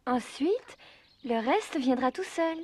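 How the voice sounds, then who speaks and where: Patient female cartoon adviser: high voice, coaxing and instructive, dry recording. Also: big pitch swings, takes it slowly, a little mellow, husky, dry recording, heavily acted. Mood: patient advice. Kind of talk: animated cartoon dub acting.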